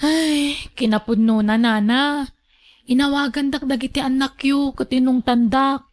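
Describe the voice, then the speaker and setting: A woman performs a younger woman with a distinctly high voice, looking after an elderly mother. She is long-suffering and earnest, reasoning her way through a radio drama. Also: steady tone, a little slow. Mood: earnest explaining.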